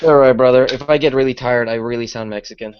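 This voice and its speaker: A man's voice: mid-range voice, steady tone, fast, muffled recording, background noise. A man from Colombia hosting a casual online talk show, wry and joking as he signs off. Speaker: show host. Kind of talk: casual podcast chat.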